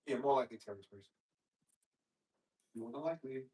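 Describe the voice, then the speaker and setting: A woman off camera tossing in short, quiet remarks, heard from a distance across the room. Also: rumbling low, a little fast. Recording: roomy, distant from the mic.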